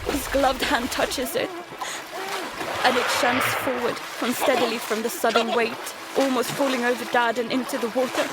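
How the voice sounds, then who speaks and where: A woman playing a terrified young daughter, narrating an audio drama in the first person in halting, emotional fragments. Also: fairly high pitch, fairly even intonation, heavy background noise, lightly acted. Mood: horrified, urgent. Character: terrified young daughter recounting a monster attack at sea.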